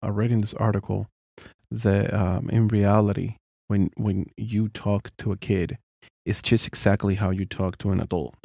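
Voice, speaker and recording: Deep-voiced man, casual co-host, gravelly and dry, says things twice to make his point. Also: steady tone, normal speed, mellow, no room sound. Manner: earnest, repeats himself, non-native phrasing. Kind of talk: casual chat.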